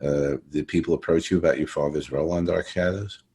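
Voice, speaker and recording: Male podcast interviewer, extremely low voice, halting conversational delivery with repeated phrases. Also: steady tone, a little mellow. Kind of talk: casual interview.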